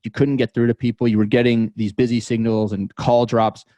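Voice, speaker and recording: An American man in his thirties telling personal stories as an interview guest, thoughtful and halting, often repeating words as he goes. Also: mid-range voice, flat delivery, dark tone, no echo. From New York metropolitan area, United States. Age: 30s. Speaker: podcast guest. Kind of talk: reflective interview storytelling.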